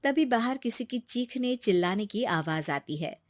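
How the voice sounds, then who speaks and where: A woman's voice: fairly high pitch, lively intonation, normal speed, darkish tone, no room sound. A woman narrating a scripted story as its storyteller.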